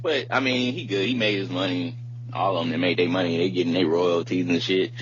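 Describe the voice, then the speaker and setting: A man's voice: low voice, fairly even intonation, brisk. A man hosting a podcast, talking casually and bluntly about music in slangy, informal speech. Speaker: podcast host. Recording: muffled podcast audio with light hum.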